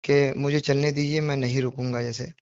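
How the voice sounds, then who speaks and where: A man's voice: mid-range voice, level tone, fairly quick, a little mellow, dry recording. A man on a recorded phone call, speaking earnestly and apologetically, explaining himself insistently with repetitions and rhetorical questions. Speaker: devotional poetry reciter on a phone call.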